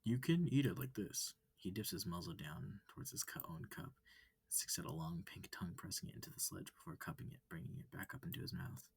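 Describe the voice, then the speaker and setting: A man softly narrating a visual novel in a cozy, halting, often breathy reading. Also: deep voice, fairly even intonation, dry recording. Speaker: reader.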